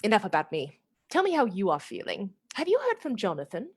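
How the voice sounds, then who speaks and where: A dreamy young Victorian lady played by a woman with a medium-pitched voice. She sounds airy, wistful and reassuring, as in a radio drama about a spellbound heroine. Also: huge pitch swings, a little fast, husky, exaggerated voice. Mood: bright, reassuring. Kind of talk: radio play acting.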